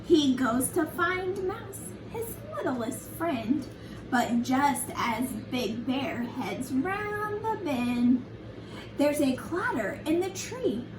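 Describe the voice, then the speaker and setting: A woman reading a picture book to young children like a preschool teacher, in an animated, sing-song, encouraging way with simple questions to her listeners. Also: high-pitched, takes it slowly. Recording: echoey room, distant mic, noisy background.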